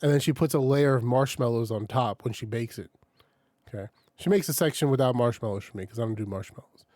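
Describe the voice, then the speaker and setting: A man's voice: medium pitch, fast talker, no room sound, clean studio sound. A man hosting a casual podcast, swearing freely and laughing a lot as he explains how he packs his leftovers. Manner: animated storyteller, swears often, laughs a lot. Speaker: podcast host. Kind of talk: casual chat.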